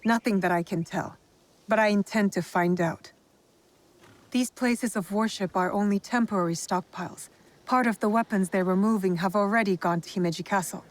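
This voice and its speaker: A cool, determined heroine voiced by a woman questions with calm directness. Her voice is steady and mid-pitched, pressing without raising it. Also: moderate pace, lightly acted. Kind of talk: video game cutscene acting.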